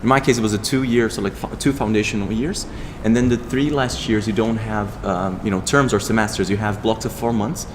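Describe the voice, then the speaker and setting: A man's voice: on the low side, steady tone, a little fast, few pauses. A young man from Brazil with a Brazilian accent, a startup founder talking in a casual interview, rambling with many restarts and fillers. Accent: Brazilian Portuguese. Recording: long reverb, clear background noise.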